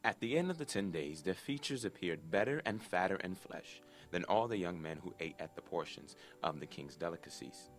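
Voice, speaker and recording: A man's voice: low voice, lively intonation, normal speed, few pauses. A man narrating a dramatic scripted Bible reading in a formal, solemn storytelling manner. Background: background music.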